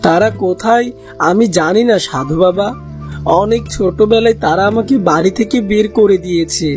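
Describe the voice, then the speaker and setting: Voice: mid-range voice, normal speed, lightly acted. An actor voicing a lonely, rejected young duckling in a cartoon fairy tale, earnest and plaintive. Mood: sad. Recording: music behind the voice.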